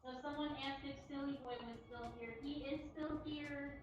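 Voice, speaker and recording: A woman's voice: high-pitched, fairly even intonation, normal speed. A woman co-hosting a casual livestream, relaying viewers' comments in an informal chat. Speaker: cat cafe livestream co-host. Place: indoors in a cat cafe. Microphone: away from the microphone. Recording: distant, echoey, dull livestream audio.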